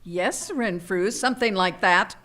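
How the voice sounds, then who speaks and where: A woman's voice: mid-range voice, a little slow, fairly bright tone, natural voice. A woman playing an impatient, determined wife with a firm, commanding manner in a live radio drama. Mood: firm, threatening.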